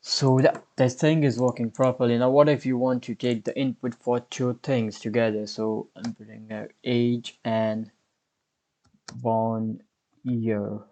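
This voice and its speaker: A man's voice: mid-range voice, level tone, mellow. A man in his twenties hosting a programming tutorial, explaining code step by step as he types. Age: 20s. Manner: unhurried, explains step by step while typing. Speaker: coding tutorial host. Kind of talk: screen-recorded programming tutorial.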